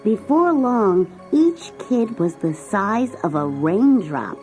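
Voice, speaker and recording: A woman narrating a scripted children's story in an expressive storytelling manner. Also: medium pitch, slow. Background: music.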